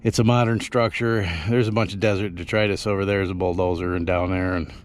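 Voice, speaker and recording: A man's voice: low-pitched, fairly even intonation, fast. A man giving casual outdoor travel narration to camera as a vlogger, exploring out in the open. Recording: windy outdoor recording with loud noise. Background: loud wind noise.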